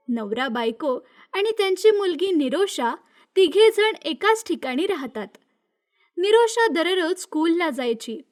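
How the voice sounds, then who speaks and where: High-pitched woman storyteller. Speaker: narrator of a moral story. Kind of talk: scripted animated-story narration.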